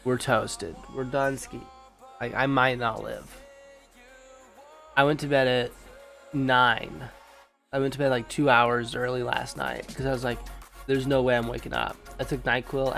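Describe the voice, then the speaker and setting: A man's voice: mid-range voice, fairly even intonation, measured pace, creaky, fairly bright tone, faint music behind. A young man hosting a live stream in casual, slangy chat, sounding stuffed-up and nasal from allergies. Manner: laid-back slangy chatter. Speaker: livestream host.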